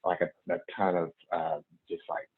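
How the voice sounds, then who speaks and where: A man with a fairly low voice asks a coach questions over a call. He sounds hesitant and keeps restarting his sentences. His audio is thin and tinny, like a phone line. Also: level tone. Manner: hesitant, restarts often, quick acknowledgments. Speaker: caller, youth basketball coach. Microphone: remote call.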